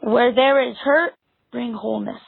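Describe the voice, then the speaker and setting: A woman leading a responsive spoken prayer in short, deliberate phrases, leaving pauses for response. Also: on the high side, steady tone, gravelly, slightly rough, no echo. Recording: narrow phone-like sound, dry. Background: soft guitar music.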